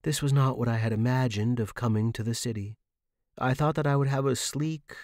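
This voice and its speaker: A man's voice: mid-range voice, darkish tone, dry recording, clean studio sound. A man reading a scripted first-person story as a fiction podcast narrator.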